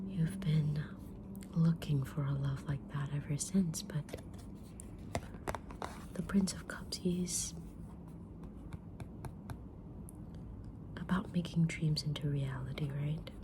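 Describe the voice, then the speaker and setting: A woman playing a kind, mysterious tarot-reading witch in a gentle, breathy whisper for an ASMR roleplay. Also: deep voice, noisy background. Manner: gentle, deliberate, soft-spoken, drawn-out pauses.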